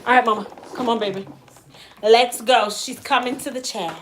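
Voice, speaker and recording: A woman's voice: on the high side, steady tone, normal speed, a little hiss or hum. A middle-aged American woman, a hairstylist, chatting casually with live-stream viewers, joking and teasing as she answers. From United States. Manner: joking, teasing, laughs often.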